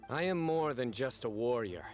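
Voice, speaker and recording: A man's voice: medium pitch, expressive, moderate pace, lightly acted. Stern, proud male general by an actor over music. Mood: stern, resolute. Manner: terse, declarative, serious. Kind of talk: dramatic game voice acting.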